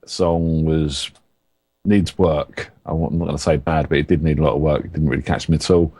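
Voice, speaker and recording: A man's voice: extremely low pitch, flat delivery, fairly quick, darkish tone, dry recording, clean studio sound. A man on a music review panel giving blunt verdicts with wry humor.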